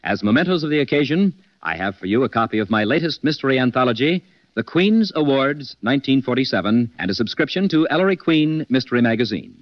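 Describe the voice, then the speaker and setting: An actor playing a confident, polished gentleman detective who hosts a 1940s radio mystery. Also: medium pitch, animated, no room sound, lightly acted. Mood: formal sign-off. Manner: polished, deliberate. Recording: dull vintage 1940s radio recording.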